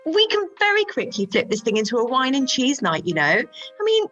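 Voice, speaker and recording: A woman's voice: high-pitched, huge pitch swings, moderate pace, fairly bright tone, muffled recording, soft background music, full character voice. A woman playing a teasing, melodramatic middle-aged friend in an amateur radio play. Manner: melodramatic, sardonic. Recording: home recording over video call.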